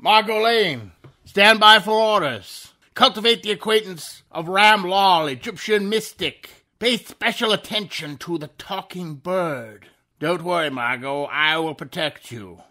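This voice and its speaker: A man's voice: sits high, a little slow, bright, forceful tone. An actor playing a mysterious unseen male avenger in a 1930s-style radio drama, commanding and taunting.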